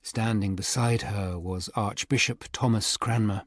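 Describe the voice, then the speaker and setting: A man's voice: on the low side, steady tone, a little hiss or hum, natural voice. Melancholy middle-aged English Tudor lawyer, played by a man. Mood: measured. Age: 40s. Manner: measured, reflective, literate. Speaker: narrator and lead actor. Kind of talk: radio drama narration and dialogue.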